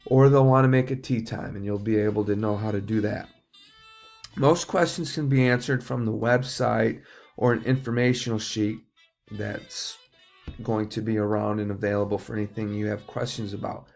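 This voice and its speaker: Gravelly-voiced man giving staff instructions. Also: fairly low pitch, steady tone, normal speed, darkish tone, soft background music. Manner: plain-spoken, instructive, checks understanding with tags. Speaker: golf course manager training new staff. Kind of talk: informal staff training talk.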